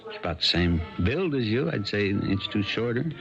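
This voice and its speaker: An actor in a 1970s radio drama playing a calm, persistent small-town sheriff who asks halting questions. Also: male voice, on the low side, creaky, full character voice. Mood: dryly suspicious. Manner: hesitant, halting questions with ums and uhs. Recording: dull 1970s radio broadcast, music behind.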